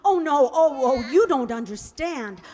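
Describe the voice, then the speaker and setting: Exasperated young working girl for a radio comedy, played by a woman: high, very animated delivery, echoing hall. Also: unhurried. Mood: flustered, indignant. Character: exasperated young shop girl mistaken for a mother. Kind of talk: old-time radio comedy acting. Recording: reverberant hall stage recording.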